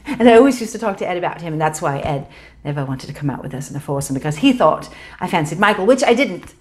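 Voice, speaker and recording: A woman's voice: fairly low pitch, very animated, quick pace, a little mellow. Woman acting a frantic, guilty young woman confessing. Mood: guilty, hushed. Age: young adult. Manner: breathless, run-on, urgent, blurting. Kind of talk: dramatic stage monologue.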